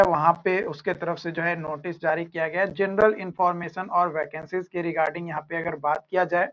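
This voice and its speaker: An instructor with an Indian accent gives an informal explanatory lecture in a repetitive way, asking and answering their own questions. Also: mid-range voice. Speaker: online coaching instructor. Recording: dull lo-fi audio.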